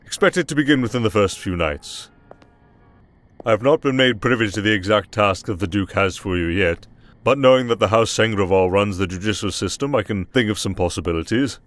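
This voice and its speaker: Man as a low-voiced, formal vampire court aide. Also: fairly bright tone, lightly acted. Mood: formal, composed. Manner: formal, measured, courteous but stern. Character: stern, dignified male aide to a vampire archduke. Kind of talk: ASMR roleplay acting.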